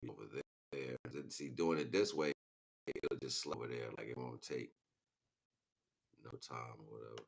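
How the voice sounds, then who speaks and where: Super deep gravelly guy, slangy, kinda muttering thru a casual stream. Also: steady tone, a little slow, long pauses. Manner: rambling, slangy, muttering to himself. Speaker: live streamer. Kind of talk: casual unscripted livestream chat.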